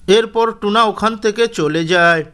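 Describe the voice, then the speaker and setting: A man's voice: sits high, moderate pace, sharp tone, clear, resonant tone. A man narrating a scripted cartoon fairy tale as its storyteller.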